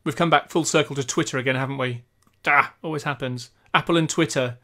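Man, forties, podcast host, casual tech talk, slightly raised pitch, studio mic at home. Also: fast, sharp tone. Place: small home study. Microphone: large condenser studio mic.

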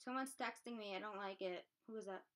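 A woman's voice: mid-range voice, fast talker, fairly bright tone. A woman of about twenty chatting while dyeing eggs, dry and a bit bossy, swearing casually, on a camera mic in a small bedroom. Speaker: co-host.

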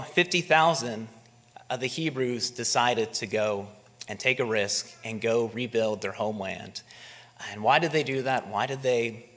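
A man in his thirties preaches at a pulpit microphone. His pitch sits in the middle range for a man. He talks to the congregation conversationally and poses rhetorical questions, and his voice carries a long reverb through the church hall. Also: a bit bright. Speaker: pastor. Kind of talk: sermon. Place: church sanctuary, at a wooden pulpit.

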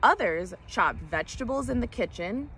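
A woman's voice: mid-range voice, lively intonation, normal speed, fairly bright tone. A young adult woman reading a children's story aloud as a storytime host, recorded outdoors on a camera a short distance away. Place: outdoors in woods by a creek. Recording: clear outdoor background noise, clicks.

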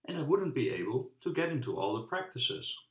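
A man reads the part of a loving young single mother in a children's storybook. She is earnest and apologetic, with expressive intonation, in an echoey room. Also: mid-range voice, fairly quick, pitched above the performer's own voice. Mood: earnest, regretful. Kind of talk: children's storybook character reading. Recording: roomy, echoing sound.

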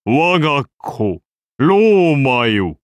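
A majestic founder-king of Rome turned god, declaims in archaic, solemn phrases for an anime game. His benevolent voice moves slowly and sweeps widely in pitch, in a close, dry recording. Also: sing-song, no room sound. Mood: tender, reassuring. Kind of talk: anime game character voice lines.

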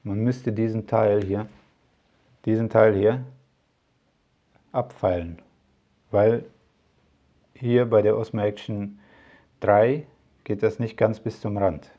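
Low, mellow man rambling about gear. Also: fairly even intonation, takes it slowly, pauses often, highs cut off. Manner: rambling, many fillers and asides. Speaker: tech vlogger. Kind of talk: casual product talk to camera.

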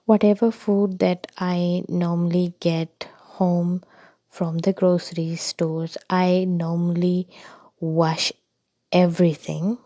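A woman from India casually narrating a drive in a car, speaking slowly and softly with many pauses and fillers. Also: lowish voice, level tone, no room sound. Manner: slow, soft-spoken, many ums and uhs. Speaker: vlogger. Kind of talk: casual narration over driving footage. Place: inside a car.

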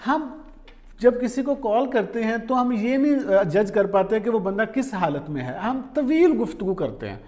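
High-voiced Pakistani man giving advice. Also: animated, moderate pace, darkish tone. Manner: conversational, explanatory, mixes in English words. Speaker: vlogger, journalist and columnist. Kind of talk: informal advice monologue to camera. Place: small quiet room indoors, at a desk.

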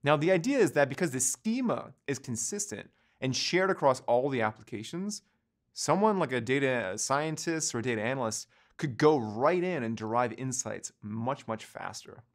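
A man in his thirties delivers a scripted lecture for an online course, standing in a studio and talking with his hands. His voice sits at an ordinary middle pitch for a man but moves up and down a great deal. He explains every point in steady, clear steps. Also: normal speed. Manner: explanatory, gestures with both hands while talking. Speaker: course instructor.

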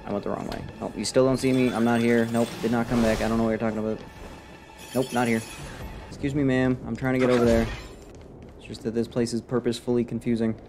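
A young man streaming a video game from a close desk mic, giving casual, sarcastic, indifferent commentary in a deadpan way. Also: medium pitch, fast talker. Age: young adult. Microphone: desk microphone close to the face. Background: game music.

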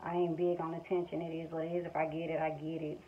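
Low-pitched young American woman, casual vlog. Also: little pitch movement, quick pace. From Missouri, United States. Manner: colloquial, frank. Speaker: vlogger, stay-at-home mother. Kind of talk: casual off-the-cuff chat to camera. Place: small room at home, indoors. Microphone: phone at arm's length or propped nearby. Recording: dull lo-fi phone audio, faint background noise. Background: household background noise.

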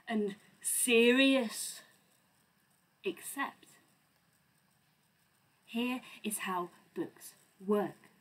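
A woman in her thirties reading a children's picture book aloud in a theatrical, expressive, deliberate way at home. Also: on the high side, low-quality recording. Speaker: storyteller. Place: small home room lined with bookshelves.

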